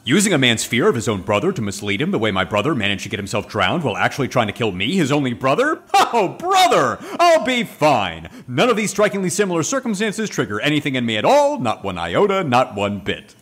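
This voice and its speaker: A fussy android man with a husky edge, as a man acts him out. His precise, prim phrasing swings widely in pitch and tips into nervous rambling, in an audio comedy drama. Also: huge pitch swings, fairly quick, hardly any pauses, a bit bright, full character voice. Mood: frantic.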